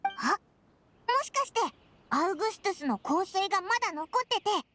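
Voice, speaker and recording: A woman voicing a small, excitable, childlike floating companion in an anime game, blunt and squeaky. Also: normal speed, faint music behind, exaggerated voice. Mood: curious.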